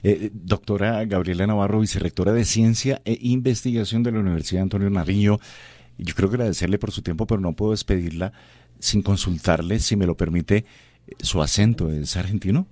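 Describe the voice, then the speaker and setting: A man's voice: fairly low pitch, fairly quick, darkish tone, no room sound. A man hosting a radio interview, courteous and affirming, addressing his guest formally.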